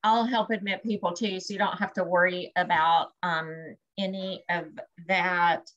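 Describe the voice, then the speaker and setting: Lady running a zoom session, brisk, kinda dull audio. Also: fairly low pitch, steady tone, a bit bright. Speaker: session host. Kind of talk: casual video-call chat. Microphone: video call. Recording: dull video call audio.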